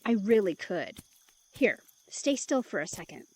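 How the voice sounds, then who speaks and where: A woman's voice: mid-range voice, big pitch swings, a little slow, slightly rough. A woman playing an eager, playful, stubborn young woman in an intimate audio drama, perky and insistent.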